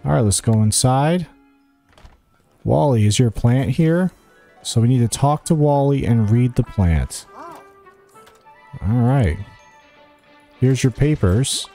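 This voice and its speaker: Mellow man narrating a game walkthrough. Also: medium pitch, expressive, takes it slowly, long pauses. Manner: thinking aloud, talking to game characters. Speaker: gaming guide host. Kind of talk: casual video game walkthrough commentary. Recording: music behind the voice.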